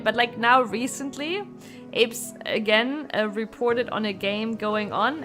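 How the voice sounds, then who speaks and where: A woman chatting casually as a game streamer, in a rambling, animated way with casual swearing. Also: mid-range voice. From Germany. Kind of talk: casual live gameplay chat. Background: music.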